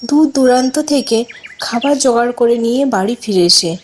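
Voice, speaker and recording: A woman's voice: fairly high pitch, moderate pace, slight background noise. A woman narrating a scripted children's folk tale.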